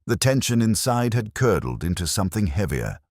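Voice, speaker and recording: A man's voice: fairly low pitch, sing-song, fairly quick, darkish tone, no echo, clean studio sound. A man reading scripted narration for a village mystery story.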